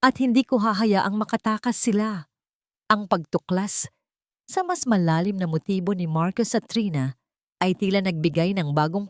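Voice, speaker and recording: A woman narrating a first-person audiobook drama in a steady, dramatic reading on a close mic. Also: lowish voice, no room sound.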